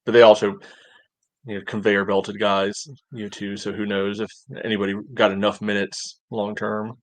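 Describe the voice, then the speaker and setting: Male co-host, very even delivery, dry close sound, halting off-the-cuff sports analysis. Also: fairly low pitch, dry recording. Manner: halting, thinking aloud, heavy on "you know". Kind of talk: casual sports analysis chat.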